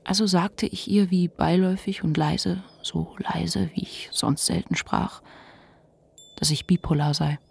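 An actor playing a reflective, ashamed narrator confiding a memoir in a measured literary radio monologue. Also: medium pitch, fairly even intonation, creaky, slightly rough, heavily acted. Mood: reflective, confessional.

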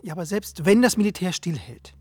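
Sceptical practical investigator, husky female voice, close and dry, brusque, radio thriller. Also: fairly low pitch, expressive, a little fast, darkish tone, no echo. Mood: sceptical, challenging. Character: blunt, sceptical, practical member of fugitive team.

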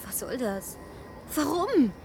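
High-voiced, frightened female survivor. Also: big pitch swings, takes it slowly, creaky, mellow, a little hiss or hum, heavily acted. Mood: puzzled, uneasy. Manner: hesitant, anxious, pleading. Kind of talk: German radio drama acting.

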